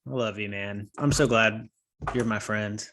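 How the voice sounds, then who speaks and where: A man's voice: on the low side, steady tone, a little slow, a bit bright. Rambling young man, casual podcast host. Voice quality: a bit nasal. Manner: rambling, loses his train of thought. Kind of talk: casual chat.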